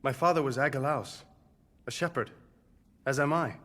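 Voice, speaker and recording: A man's voice: medium pitch, moderate pace, fairly bright tone, lightly acted. Man playing a reverberant young shepherd. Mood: earnest. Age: young adult. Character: young shepherd, earnest and plain-spoken. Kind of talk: dramatic video game cutscene acting. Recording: long hall reverb.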